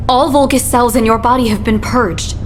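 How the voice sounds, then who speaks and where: An actress voicing a calm, formal woman who speaks with measured, polite diction in a video game cutscene. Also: a little high, slight background noise, natural voice. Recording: game mix over music.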